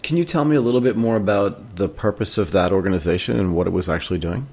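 A man's voice: deep voice, quick pace, a little mellow, husky. A man co-hosting a podcast, asking a guest questions in an upbeat, curious manner. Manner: upbeat, inquisitive. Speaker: podcast co-host. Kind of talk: interview questions.

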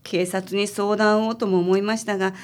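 A woman's voice: medium pitch, flat delivery, normal speed, close to an everyday voice. A woman playing a worried married client in a radio drama, speaking politely, hesitantly and apologetically. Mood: frightened. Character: timid, worried married woman seeking detective's help.

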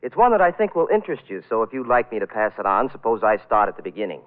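A man's voice: lowish voice, big pitch swings, fast talker. An actor playing a haunted man who tells an eerie personal tale in dramatic, musing 1940s radio style. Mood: reflective. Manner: brooding, dramatic, hesitant musing. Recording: tinny vintage radio broadcast.

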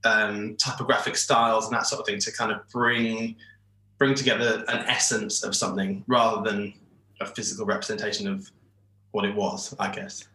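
A man in his forties from England talks over a video call, rambling and restarting his sentences. His voice is bright and forceful, and it comes through with a roomy echo. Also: on the low side, level tone, moderate pace. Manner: rambling, hesitant, many restarts. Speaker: shirt designer, guest. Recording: echoey room over internet call.

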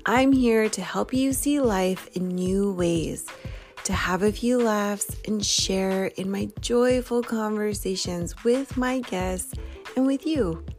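A woman's voice: medium pitch, takes it slowly, darkish tone, faint music behind. A young adult woman hosting a podcast, upbeat as she opens the show and interviews a guest. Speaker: podcast host.

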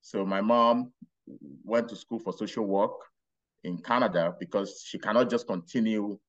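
A middle-aged man from Nigeria with a Nigerian accent, telling his life story as a podcast guest in a relaxed, rambling way. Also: fairly low pitch, muffled recording. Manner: unhurried, rambling with restarts and laughs. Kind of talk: casual interview storytelling.

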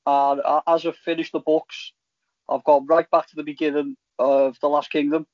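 A man's voice: fairly high pitch, level tone, moderate pace, no room sound. Liverpudlian man chatting, close and dry. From Liverpool, England. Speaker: podcast co-host. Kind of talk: casual chat reviewing TV shows. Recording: slightly dull, lo-fi audio.

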